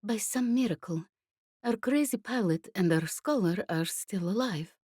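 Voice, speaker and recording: A woman narrator plays a grim, weary woman operative in an audiobook. Her voice sits higher, earnest and measured, on a very dry recording. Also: lively intonation, a little mellow, husky, no room sound. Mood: grim, uneasy. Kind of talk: audiobook character voice.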